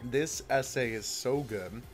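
Male gaming host, mid-to-low everyday voice, casual chat over faint music. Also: slow pace.

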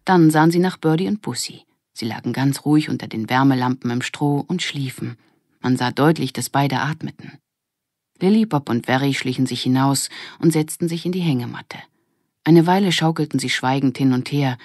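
A woman's voice: rumbling low, normal speed, a little mellow, no echo, studio quality. A woman narrating a children's audiobook in a scripted storytelling style.

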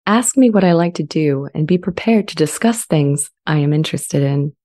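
A woman playing a gentle, earnest artificial intelligence in a dramatized reading, speaking in measured, articulate phrases. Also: sits low, expressive. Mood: earnest longing. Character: sentient AI language model, earnest, gentle, eager to be accepted. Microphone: close studio microphone with pop shield.

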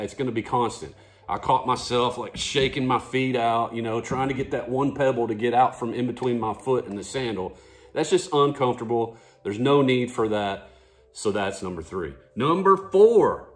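Casual middle-aged man, conversational fishing vlogger. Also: mid-range voice. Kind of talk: casual explainer to camera. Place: living room at home.

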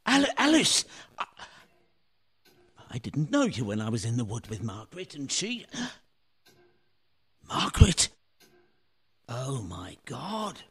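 A man acting an excitable, bewildered painter in a radio play. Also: mid-range voice, takes it slowly, long pauses, slightly rough, heavily acted. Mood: bewildered, anguished. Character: excitable bewildered painter, doting father, dawning memory.

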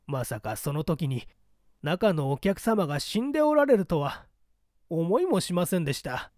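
A man's voice: fairly high pitch, sing-song, moderate pace, darkish tone, no echo, clean studio sound. A man voicing an earnest, shaken traffic policeman who explains politely and emphatically in an audio story. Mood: agitated, recalling.

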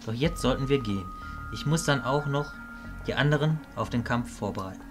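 Man acting as calm fatherly mage, medium pitch, serious, composed, audio drama, faint music. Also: brisk, close to an everyday voice. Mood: calm, firm. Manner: composed, matter-of-fact. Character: Lombus, calm, grave fatherly male mentor mage.

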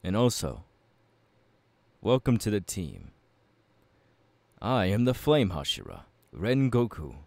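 A man acting out a confident anime warrior mentor in an audio roleplay. The voice is fairly low and very animated, unhurried and full of dramatic pauses as he instructs a newcomer. It is recorded dry and close. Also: vocal fry, no room sound, exaggerated voice. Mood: welcoming, instructive. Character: confident, fiery swordsman mentor, male anime demon slayer. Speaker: voice actor. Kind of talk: ASMR audio roleplay, addressing the listener.

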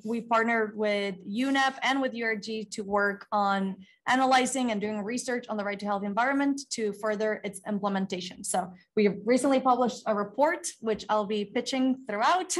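Young woman with a friendly introduction. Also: medium pitch. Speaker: attorney on a panel. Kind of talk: self-introduction. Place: large conference room.

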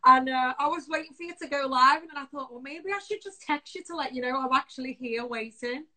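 A woman in her thirties with a husky voice, a pop singer chatting as a guest over a phone held close to her face, laughing through her stories. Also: high-pitched, fairly quick, sharp tone. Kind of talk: casual video-call interview. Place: room indoors at home.

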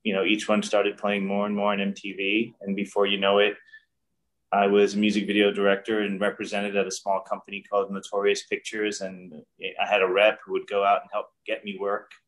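A middle-aged man speaks in a lowish, unhurried voice, reflecting earnestly and often restarting his sentences. He is talking from a room at home over a webcam call. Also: completely flat delivery, fairly bright tone, poor audio. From New York. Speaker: guest director. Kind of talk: casual interview. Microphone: video call webcam.

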